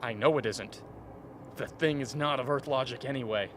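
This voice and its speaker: A man's voice: medium pitch, normal speed, close to an everyday voice. A man acting a haunted, vehement polar scientist in a radio play, speaking intensely and repeating words for emphasis. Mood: insistent. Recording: faint steady background noise.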